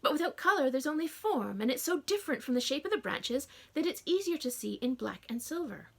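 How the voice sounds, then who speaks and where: A woman voicing an eager young girl inventor who speaks quickly and earnestly. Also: high-pitched, very animated, creaky, pitched above the performer's own voice, exaggerated voice.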